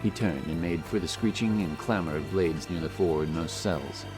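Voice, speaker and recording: Male narrator, low register, dramatic scripted fantasy storytelling over prominent music. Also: normal speed. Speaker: audiobook narrator. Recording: loud music behind the voice.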